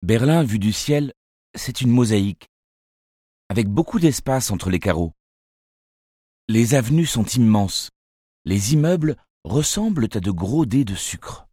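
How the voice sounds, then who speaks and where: Slow, expressive male audiobook narrator. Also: medium pitch, breathy edge, no room sound, studio quality. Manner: measured, expressive storytelling. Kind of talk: scripted narration of a children's novel.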